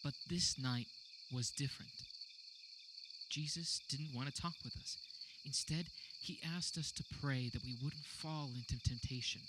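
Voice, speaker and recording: Over clearly audible night insects, a man acts out a troubled male disciple in a radio drama. His mid-pitched voice tells the memory in a plain-spoken, casual and reflective way. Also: background noise, natural voice. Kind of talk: dramatic first-person radio theater monologue. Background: crickets and outdoor night ambience.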